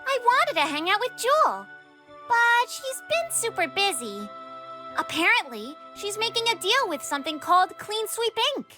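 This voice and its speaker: A woman voicing a sweet, cheerful young girl animal heroine with a gentle, caring manner, in a cartoon comic dub. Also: very high-pitched, big pitch swings, normal speed, faint music behind, full character voice. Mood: chatty.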